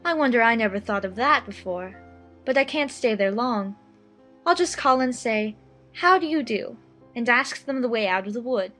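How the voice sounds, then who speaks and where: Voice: medium pitch, expressive, normal speed, a bit bright, faint music behind, pitched above the performer's own voice, natural voice. A narrator voicing a curious, chatty little girl who muses to herself in a children's audiobook. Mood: resolved, musing. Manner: musing aloud to herself.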